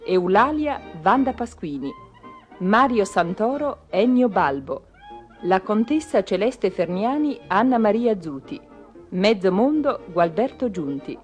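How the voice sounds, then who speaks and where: A woman's voice: medium pitch, sing-song, unhurried, soft background music. A woman radio announcer reading scripted credits in a formal style.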